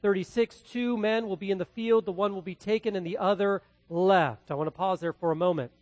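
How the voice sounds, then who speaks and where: A man from the Midwest with a Midwestern accent, preaching a verse-by-verse sermon as pastor, swinging between loud and quietly hushed delivery. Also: sits high, brisk, dry recording. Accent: Midwestern American. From American Midwest. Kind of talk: expository sermon.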